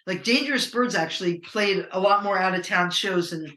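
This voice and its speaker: A middle-aged American woman with a low voice, a musician talking casually in an interview, rambling and hesitant with many fillers. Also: moderate pace, fairly bright tone, reverberant. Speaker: musician guest.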